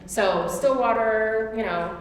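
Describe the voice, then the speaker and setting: A woman's voice: fairly high pitch, slow pace, a little hiss or hum. A woman from Oklahoma with an Oklahoma accent whose first language was Turkish, chatting at length as a guest speaker to a church class. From Tulsa, Oklahoma. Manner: chatty, answers questions at length. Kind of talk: informal class talk. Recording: echoey hall with long reverb.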